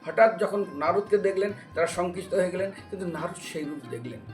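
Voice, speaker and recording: A man delivers a devotional sermon in a high-pitched voice. He explains sacred stories like a storyteller, posing questions along the way. He sounds some way from the microphone, with plucked string music playing underneath. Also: fast talker. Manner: explanatory, with rhetorical questions and acted-out dialogue. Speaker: religious preacher giving a devotional lecture. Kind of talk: devotional storytelling sermon with recited scripture verses. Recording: distant microphone with music behind.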